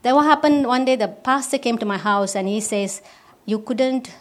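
A woman from Malaysia, giving a personal testimony at a church service in halting English with restarts. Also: a little high. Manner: halting, with restarts and simple non-native grammar.

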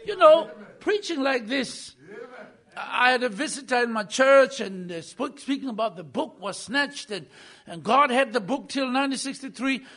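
A man preaching a sermon as a visiting preacher, emphatic, swinging between loud bursts and quiet asides. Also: very high-pitched, expressive, normal speed. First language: not English.

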